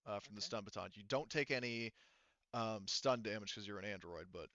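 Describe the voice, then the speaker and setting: A man's voice: fairly low pitch, a little fast, pushed and bright, no room sound, highs cut off. A man acting as game master, casually narrating a tabletop game and explaining rules while thinking aloud.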